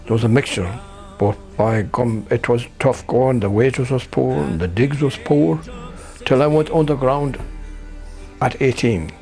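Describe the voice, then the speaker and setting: A man's voice: mid-range voice, a little slow, dark tone, faint music behind. A man in his eighties with a Sligo Irish accent, recalling his working life in a plain, homely way. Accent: Irish, County Sligo. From Ireland. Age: 80s. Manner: plain, homely storytelling with folksy phrases. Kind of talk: oral history recollection.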